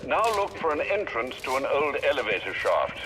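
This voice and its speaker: An actor playing a loyal, proper older butler in a video game, speaking politely and precisely. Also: male voice, mid-range voice, very animated, moderate pace, close to an everyday voice, poor audio. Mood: calm, helpful. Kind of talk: dramatic video game acting.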